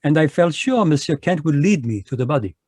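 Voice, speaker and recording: A man's voice: a little high, lively intonation, a little fast, darkish tone, dry recording. A man voicing a smug Belgian detective with a put-on French accent in an old-time radio play, pompous and polite. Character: fussy middle-aged Belgian male detective, smug. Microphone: video call microphone.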